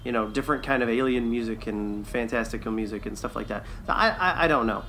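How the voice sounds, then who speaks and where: A man with a bright, forward voice at a middle pitch chats as a podcast co-host. He is tentative and agreeable, feeling his way through his opinions. There is some noise in the recording. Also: fast talker. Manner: hesitant, agreeable, conciliatory. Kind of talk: casual review discussion.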